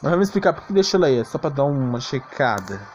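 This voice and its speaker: A man's voice: mid-range voice, sing-song, fast talker, noisy background. A man with a Brazilian Portuguese accent giving casual live game commentary in a slangy, mildly grumbling manner. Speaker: gaming streamer. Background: game music.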